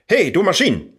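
An impatient old scholar in a radio play, grumbling in a husky, high voice that rises and falls widely. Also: male voice, fairly bright tone, exaggerated voice. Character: weary old male scholar craving youth and fun. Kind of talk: rhymed radio play acting.

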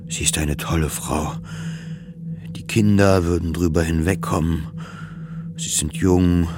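A man's voice: low voice, background noise. An actor playing a depressed, despairing man in a radio play monologue, brooding and self-mocking as he narrates his inner thoughts. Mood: despairing, self-loathing.

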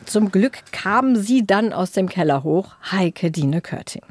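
A woman's voice: mid-range voice, lively intonation, measured pace, slight background noise. A woman co-hosting a podcast, narrating casually and chatting. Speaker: podcast co-host.

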